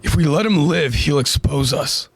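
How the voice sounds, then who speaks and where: A ruthless young male soldier, quiet and menacing, with a rough, gravelly edge, voiced by a male narrator. The voice is husky and sits close and dry. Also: lively intonation, moderate pace, no room sound. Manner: low, menacing, gravelly delivery.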